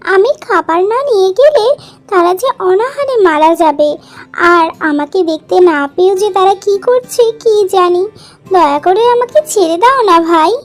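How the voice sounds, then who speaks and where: Tiny, very high-pitched pleading mother bird. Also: animated, moderate pace, soft background music. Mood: anxious pleading. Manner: pleading, coaxing. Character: small pleading mother tailorbird, anxious for her chicks. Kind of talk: children's cartoon story voice acting.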